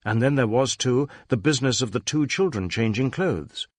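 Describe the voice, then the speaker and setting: A man narrating a detective novel as an audiobook, with measured, dramatic storytelling. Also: mid-range voice, expressive, no echo, clean studio sound.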